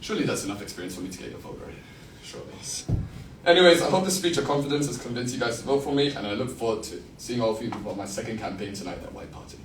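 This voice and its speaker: Quick wry young man, echoey hall. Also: mid-range voice, expressive, recorded from a distance. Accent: Singaporean-tinged British English. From Singapore. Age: 20s. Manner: wry, self-mocking, many fillers when answering. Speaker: candidate giving a campaign speech. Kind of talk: joking election speech, then answering questions. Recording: echoey room, from afar, noisy.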